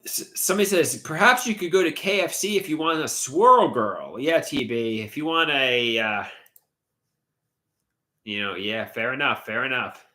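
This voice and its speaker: A man's voice: medium pitch, animated, moderate pace, bright, forceful tone. Stuffy-nosed man in an echoey room. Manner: rambling, hesitant, trails off mid-thought. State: stuffy, congested nose. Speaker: livestream host. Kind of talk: casual livestream chat, reading comments aloud.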